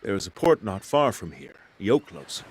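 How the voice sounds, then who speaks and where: Lowish, assured war strategist. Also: male voice, sing-song, normal speed, breathy edge, full character voice, rough recording. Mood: practical. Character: shrewd, confident male Greek strategist. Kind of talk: video game cutscene acting.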